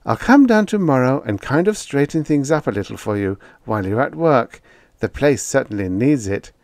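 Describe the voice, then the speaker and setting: Man doing a fussy old landlady, high prim voice, lively, dry close sound. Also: normal speed, no echo. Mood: prying. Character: fussy, nosy older landlady woman.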